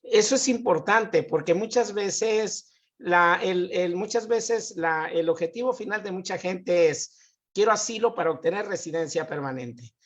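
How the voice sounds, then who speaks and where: A man's voice: sits high, measured pace, highs cut off. A man, a guest expert on immigration, methodically explaining legal questions on a live show.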